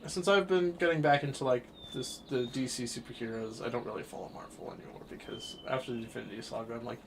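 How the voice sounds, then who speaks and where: A man's voice: mid-range voice, quick pace, low-quality recording. Young guy, 20, streaming a game and just chatting, rambly, gets hyped sometimes, faint hum in the back. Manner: rambling, with bursts of excitement at the game. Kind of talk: casual chat while livestreaming a game.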